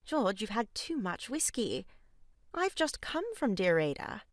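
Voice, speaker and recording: A woman's voice: medium pitch, big pitch swings, a little slow, no echo, studio quality. A woman playing an unflappable, bluntly frank married lady in an audio farce, genteel and breezy. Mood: brisk. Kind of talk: stage farce audio drama acting.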